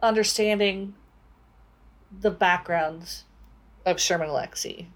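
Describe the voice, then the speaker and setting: A woman with a slightly low voice speaks as a co-host on a book discussion podcast. Her phrasing is thoughtful and a little hesitant, in an informal conversational style. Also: slow.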